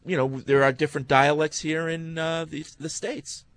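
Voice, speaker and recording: Higher-voiced man hosting sports radio. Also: little pitch movement, moderate pace, fairly bright tone. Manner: hesitant, many fillers and restarts. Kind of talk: sports talk radio chat. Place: radio studio.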